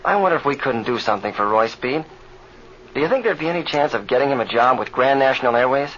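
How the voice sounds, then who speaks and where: A man's voice: mid-range voice, animated, quick pace, fairly bright tone, close to an everyday voice. An American actor playing an eager, encouraging young male pilot in an old radio serial, with earnest, folksy delivery. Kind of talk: 1930s radio serial acting. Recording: muffled, noisy old radio recording.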